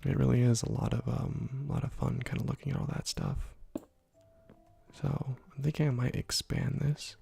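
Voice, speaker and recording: Soft, gravelly ASMR gamer man. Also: mid-range voice, steady tone, moderate pace, soft background music. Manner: rambling, many fillers, drifts into whispers. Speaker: ASMR gaming channel host. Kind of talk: soft-spoken ASMR gameplay commentary. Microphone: close microphone.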